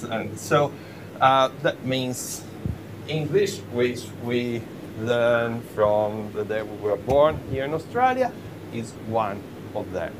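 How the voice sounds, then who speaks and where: A man's voice: very animated, slow. A man, an Italian lecturer, giving an interactive welcome talk, questioning the audience and hesitating often. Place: university lecture room. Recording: noisy lecture room with rumble.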